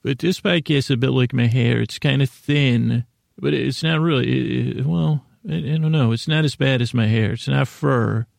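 Male podcast host, very gravelly mid-pitched voice, meandering and self-effacing comic monologue, halting delivery. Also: a little mellow, no echo, studio quality. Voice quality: gravelly, creaky. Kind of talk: rambling comedic monologue.

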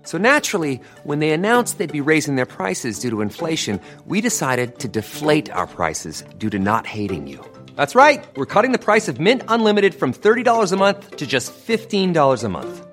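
A man's voice: fairly high pitch, huge pitch swings, normal speed, a bit bright, soft background music. A man as a spokesman, reading a humorous advert in a playful, conversational way. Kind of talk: humorous scripted ad read.

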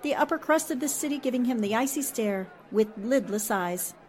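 A woman's voice: on the high side, animated, moderate pace, a little mellow, some chatter behind, natural voice. A woman playing a shrewd, sympathetic young newspaperwoman in an old-time radio drama, wry and poised. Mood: warm, wry. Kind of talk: 1940s-style radio drama acting.

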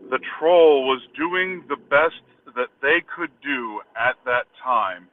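A man speaks slowly and reflectively, pausing to find words in a casual voice message. The sound is thin and phone-like, with echo and some distance from the microphone. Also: mid-range voice. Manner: halting, reflective, searching for words. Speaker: caller leaving a voice message.

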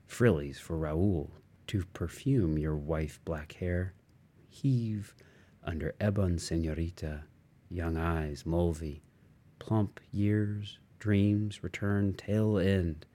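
A middle-aged man reading literary fiction aloud in measured, fragmentary phrases with pauses between them. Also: sits low, dark tone. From United States. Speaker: audiobook reader. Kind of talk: literary narration, stream-of-consciousness reading.

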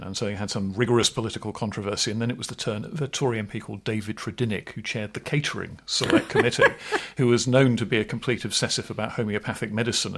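A man's voice: fairly low pitch, creaky, a bit bright. A middle-aged man co-hosting a political podcast, chatting in a wry, discursive way. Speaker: podcast co-host. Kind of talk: casual political chat.

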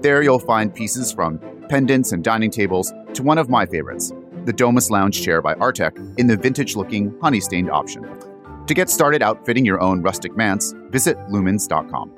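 A male podcast host with a low voice and very animated intonation. He delivers interview questions and scripted reads with polish and a touch of wry flourish. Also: normal speed, over music. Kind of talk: interview and scripted sponsor narration.

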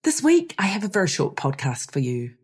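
A woman hosting a podcast, hoarse from losing her voice, explaining things in a lively, chatty way. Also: medium pitch, fairly quick. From New Zealand. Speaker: podcast host. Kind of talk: solo podcast chat.

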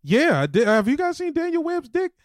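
A man's voice: very high voice, huge pitch swings, fairly quick, no echo, studio quality. A man co-hosting a podcast with casual, irreverent comic banter full of slang and swearing. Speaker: podcast co-host.

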